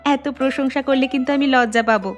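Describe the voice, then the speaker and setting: A woman voicing a shy, soft-hearted young daughter-in-law in an animated story, earnest and deferential. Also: high-pitched, fast, lightly acted. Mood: modest, shy. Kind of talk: animated moral story dialogue. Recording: music behind the voice.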